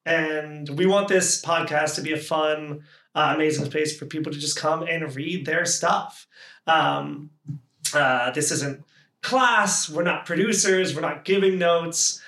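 A man's voice: fairly high pitch, a little slow, pushed and bright. A man hosting a podcast in a casual, informal and enthusiastic way. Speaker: podcast host.

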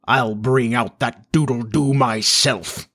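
A man's voice: on the high side, a little slow, sharp tone, slightly rough, pitched above the performer's own voice, full character voice. Man playing a theatrical, menacing pirate captain. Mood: silky menace. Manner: brazen, commanding, purring menace. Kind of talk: audiobook character voice.

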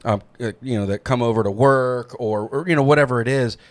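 A man's voice: mid-range voice, a little fast. A man from New Jersey hosting a podcast, chatting eagerly and rambling through restarts. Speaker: podcast host. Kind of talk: casual podcast chat.

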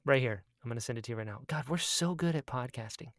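A man's voice: medium pitch, fast, dry recording, clean studio sound. A man co-hosting a podcast, chatting casually in a jokey, playful manner. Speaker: podcast co-host. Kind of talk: casual chat.

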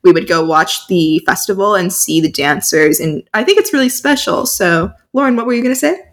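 A woman's voice: medium pitch, lively intonation, a little fast. A teenage girl from San Diego hosting a podcast, chatty and upbeat, with frequent fillers. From San Diego, USA. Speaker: podcast host. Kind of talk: casual podcast chat.

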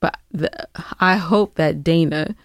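A woman with a low-pitched voice co-hosts a casual advice discussion. She sounds earnest and opinionated as she spells out her points, and the recording is dry, with little room sound. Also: slow pace, no echo. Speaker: podcast co-host giving advice.

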